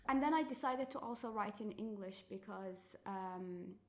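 Gravelly-voiced woman writer. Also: medium pitch, moderate pace. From Iran. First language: Farsi. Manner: animated, with frequent fillers and self-corrections. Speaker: author, guest. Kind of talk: reading her fiction aloud, then casual interview talk.

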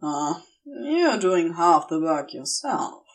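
A woman's voice: on the low side, very animated, slow pace, distant mic, voice pushed down. A woman voicing a protective male demon with a dry, clipped but reassuring manner. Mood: wry.

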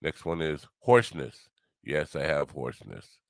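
Older man, grumbly low voice, gravelly, lively swings, dry close sound, wry solo podcast host. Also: a little slow, no echo. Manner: wry, self-mocking. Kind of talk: rambling solo monologue.

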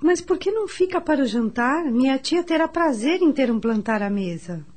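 A woman's voice: high voice, lively intonation, normal speed, darkish tone. An actress playing a curious, well-bred young lady in a radio soap opera, speaking politely and formally. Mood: pleased.

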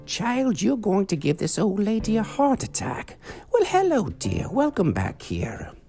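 A man voicing a kindly, fussy old witch woman in a quavering high voice. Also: huge pitch swings, a little slow, gravelly, warm tone, heavily acted. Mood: startled. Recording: guitar music behind.

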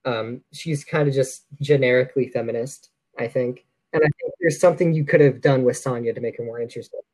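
A man's voice: mid-range voice, a little fast, a little mellow, rough recording. A man co-hosting a podcast in a casual film discussion, opinionated and critical in how he talks. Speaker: podcast co-host.

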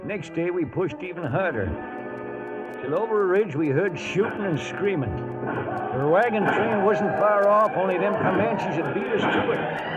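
Old cowpoke drawl, folksy american west, 1940s radio, music behind, dull sound. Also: male voice, sits high. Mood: grim, excited. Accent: rustic American West. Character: folksy, plain-spoken old cowhand narrating a western. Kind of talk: 1940s radio drama narration.

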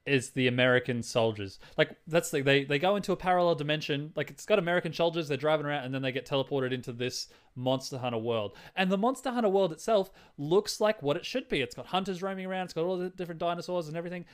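A man's voice: on the high side, fast, few pauses. A man co-hosting a casual film discussion podcast, rambling and thinking aloud as he talks. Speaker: podcast co-host.

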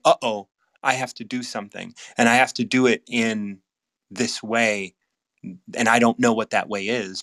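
A man's voice: medium pitch, fairly bright tone, no echo. Earnest male host with a dry sound. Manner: earnest, repeats words and restarts often. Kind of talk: casual live video chat.

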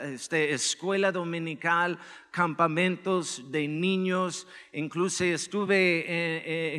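A man with an American accent, a pastor giving a relaxed, joking sermon with personal testimony and many filler words. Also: on the high side. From United States. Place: church hall. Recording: echoey church hall.

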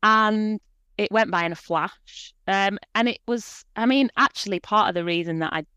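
A woman in her forties speaks as a podcast guest, chatty and reflective, often pausing on small fillers. Her voice is smooth and clean, and the recording is close and dry with no room sound. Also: mid-range voice, sharp tone, dry recording. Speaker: guest, proofreader and editor. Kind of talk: conversational podcast interview.

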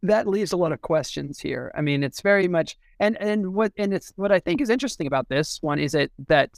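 Man, gravelly creak, close dry sound, casual book talk, halting, repeated restarts. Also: high-pitched, lively intonation, no room sound. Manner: halting, repeats and restarts phrases while thinking aloud. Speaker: podcast discussion co-host. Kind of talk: casual literary discussion.

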